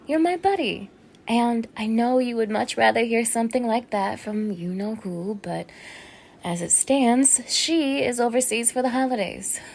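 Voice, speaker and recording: A woman's voice: fairly high pitch, measured pace, gravelly. A woman acting in an intimate audio roleplay as a teasing, fake-friendly young neighbour with obsessive menace under her casual, coaxing talk. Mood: chummy, teasing. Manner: casual, coaxing, teasing, with small laughs and hushed asides.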